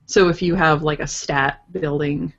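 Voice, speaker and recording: A woman's voice: sits low, steady tone, a little slow, slightly rough, lo-fi sound. A woman guest on a casual podcast, explaining her writing thoughtfully and working through hypothetical examples as she goes. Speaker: podcast guest, interactive fiction writer.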